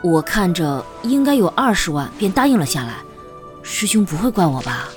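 A woman's voice: lowish voice, very animated, normal speed, darkish tone. A woman playing a respectful, earnest younger disciple in a Chinese period audio drama. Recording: music behind the voice.

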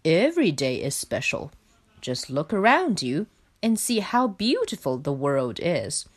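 A calm, contented rat from a storybook, as a woman reads him, speaking low and assured. The voice drops below the narrator's and stays even. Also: unhurried, slightly rough, exaggerated voice.

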